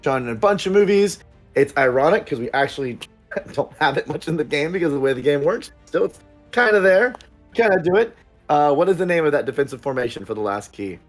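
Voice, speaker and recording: A man's voice: a little high, expressive, a little fast, faint music behind. A man hosting a casual livestream and chatting to viewers in a rambling, upbeat way full of fillers. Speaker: producer and stream host.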